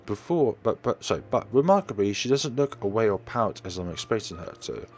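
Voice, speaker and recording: A man's voice: on the low side, sing-song, a little fast, a little hiss or hum. A man hosting a let's play, reading visual novel narration aloud and sometimes stumbling and correcting himself. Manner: stumbles over read lines and corrects himself.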